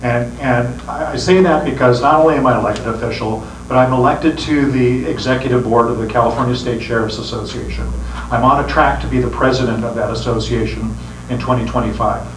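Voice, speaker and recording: A man's voice: mid-range voice, brisk. Earnest man giving a campaign speech. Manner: earnest, repeats words when starting phrases. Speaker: sheriff running for re-election. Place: meeting room with an audience. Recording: echoey room, distant mic, background noise.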